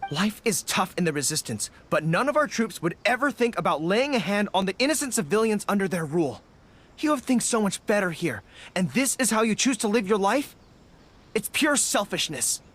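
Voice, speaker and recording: An actor playing an earnest, dutiful young army general in an anime video game, speaking formally and with resolve. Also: male voice, high-pitched, sing-song, normal speed, a bit bright, full character voice. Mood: stern, indignant. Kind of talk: English-dubbed anime video game acting. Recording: game music behind the voice.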